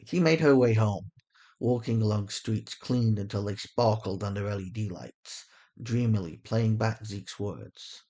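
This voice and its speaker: A man reading scripted fiction as a story narrator, with measured, deliberate phrasing and dramatic pauses. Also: fairly low pitch, dark tone.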